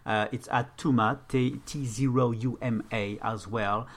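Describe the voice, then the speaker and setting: A man's voice: medium pitch, steady tone, measured pace. A man with a French accent, a native French speaker, chatting casually as a podcast guest and often repeating words as he searches for the phrase. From France. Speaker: podcast guest, gaming streamer.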